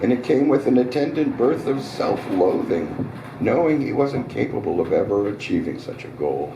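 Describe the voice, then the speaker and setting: A man hosting a live reading, hoarse, telling his own short story in a relaxed, conversational way with small asides. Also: mid-range voice, normal speed, background noise. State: hoarse, voice getting raspy. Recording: roomy, distant restaurant recording.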